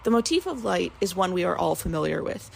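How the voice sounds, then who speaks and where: A woman reads a prepared commentary in a husky voice with a good deal of fry. She sounds earnest and measured, setting out her analysis in careful, articulate phrases. Her pitch sits a little low. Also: big pitch swings. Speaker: listener sending a recorded commentary. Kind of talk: prepared spoken essay, literary analysis.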